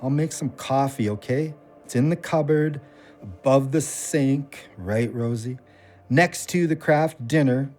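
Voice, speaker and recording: An actor playing a guarded, weary friend in an audio drama, consoling yet short-tempered and terse. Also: male voice, medium pitch, a little slow, a little mellow, faint music behind, close to an everyday voice. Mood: impatient.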